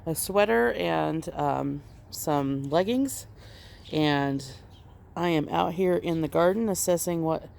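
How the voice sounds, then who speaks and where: A woman hosting a casual outdoor garden walk-through, slightly congested while getting over an illness, talking in an unhurried, plain way with frequent ums. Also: sits low, creaky, a little mellow, slight background noise.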